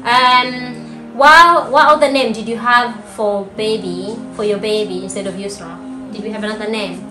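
A woman's voice: mid-range voice, fairly even intonation, normal speed. A woman in her twenties chatting casually with her husband on a sofa at home as a video host. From Rwanda. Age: 20s. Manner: chatty, laughs often, trails off quietly. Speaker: vlogger co-host. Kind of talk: casual chat. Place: living room sofa, indoors. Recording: roomy with background music.

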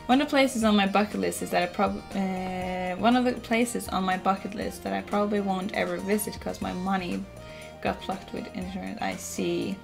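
A woman's voice: mid-range voice, fairly even intonation, moderate pace, gravelly. A young woman from Norway with a Norwegian accent, chatting casually with viewers while playing a farming game at home, full of "like" fillers and the odd swear. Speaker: streamer. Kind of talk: casual chat while gaming. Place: home room, indoors. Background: soft game music.

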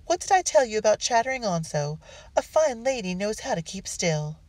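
A woman's voice: mid-range voice, big pitch swings, normal speed, a little mellow, dry recording, pitched above the performer's own voice, close to an everyday voice, low-quality recording. A woman voicing a fussy, doting loyalist mother in the colonies, cooing and genteel in an audiobook.

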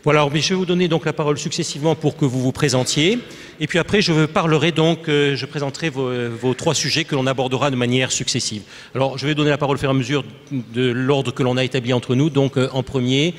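An older French man moderates a panel in a formal, roundabout way, full of fillers and restarts. His pitch is ordinary. The sound hangs with a long reverb from a large hall. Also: steady tone, fast talker. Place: conference stage.

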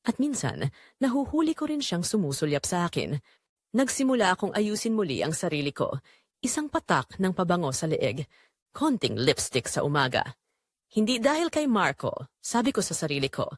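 A woman narrating an audio drama as a middle-aged mother-in-law confessing secret longing, in an intimate, measured way. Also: on the low side, dry recording, clean studio sound. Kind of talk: first-person dramatic storytelling.

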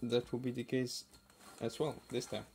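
Man, gravelly fry in the voice, unhurried, casual hobby video host, hesitant, crinkling packaging, faint noise. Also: medium pitch, completely flat delivery. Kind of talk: casual unboxing commentary. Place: indoors at a wooden table. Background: clicks and crinkling plastic bags.

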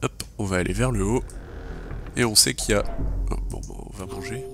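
A man's voice: fairly low pitch, fairly quick, gravelly, noisy background. A man with a cold giving casual French gameplay commentary, thinking aloud with hesitant fillers and English game terms mixed in. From French-speaking. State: has a cold. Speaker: let's play gamer.

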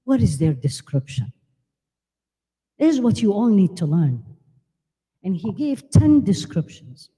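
An older woman born in Iraq, giving an animated religious lecture at a women's conference, holding a handheld mic and asking the audience questions. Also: medium pitch, unhurried, pauses often, breathy edge. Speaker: Islamic lecturer. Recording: long hall reverb.

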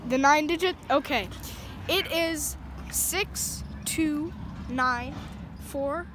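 High-voiced woman baiting a scammer on a noisy call, slow and feigning confusion. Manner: playing dumb, then mocking. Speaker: prank caller baiting a scammer. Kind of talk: prank phone call.

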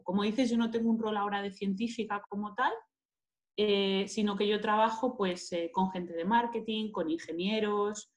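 A woman's voice: mid-range voice, steady tone, moderate pace. Woman with a Spain Spanish accent. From Madrid, Spain. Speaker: interviewee, market manager and scientist. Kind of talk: conversational interview answers. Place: indoors, plain-walled room, video call. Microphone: earphone headset on video call.

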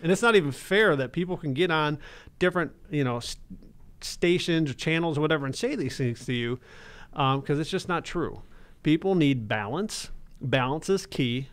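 A man in his thirties, a financial advisor hosting a radio talk show from a small studio, talking emphatically with jokes. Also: on the high side. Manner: emphatic and joking. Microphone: studio boom mic with headphones.

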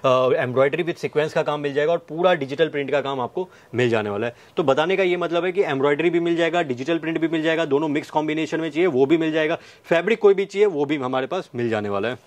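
A man in his thirties giving a sales pitch to camera, listing things with repetitive emphasis. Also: fast, hardly any pauses. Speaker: fabric manufacturer's representative presenting stock. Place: fabric showroom indoors. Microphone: clip-on wireless lapel mic.

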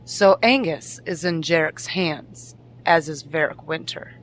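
A woman playing a grave, commanding noble lady in a fantasy audio drama, speaking with measured solemnity. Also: deep voice, slight background noise, poor audio.

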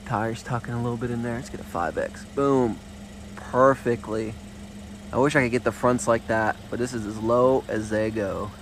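A young man with a stuffed-up nose, filming his car outdoors on a phone and thinking aloud in a relaxed, rambling way. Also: medium pitch, normal speed, background noise. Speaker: car vlogger. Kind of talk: casual hands-on car vlog. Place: outdoors on a residential street and at a gas station. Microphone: handheld phone camera.

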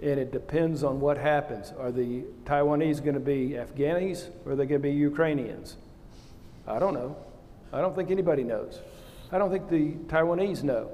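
A man's voice: fairly high pitch, moderate pace, dark tone, a little hiss or hum. An older man, a retired naval officer on a panel, giving blunt, plain-spoken opinions on stage. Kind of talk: candid panel answer. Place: large auditorium stage. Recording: long hall reverb.

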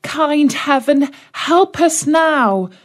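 A woman's voice: fairly high pitch, sing-song, moderate pace, husky, voice pushed up. Woman doing a frightened little girl. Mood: pleading. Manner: pleading, tearful.

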